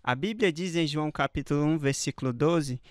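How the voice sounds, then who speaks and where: An actor voices an assured Brazilian male evangelist for a radio drama. His voice is on the high side and very dry. He delivers scripture with solemn, measured conviction. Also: no room sound, close to an everyday voice.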